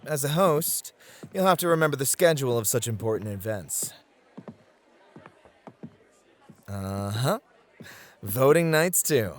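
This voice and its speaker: A man's voice: medium pitch, lively intonation, moderate pace, lightly acted. A man playing a charming, gallant club host in an ASMR roleplay, flirty and teasing in an effusive, courtly way. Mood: explaining.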